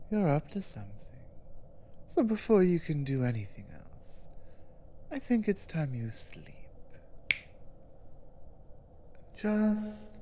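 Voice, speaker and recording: A woman playing a powerful, haughty, suspicious giantess sorceress in an ASMR roleplay, speaking deliberately and commandingly, sometimes dropping toward a whisper. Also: sits low, mellow, a little hiss or hum. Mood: stern, suspicious, then quiet, musing. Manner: slow, deliberate, commanding, with breathy drops into whispers. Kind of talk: ASMR roleplay, dramatic monologue.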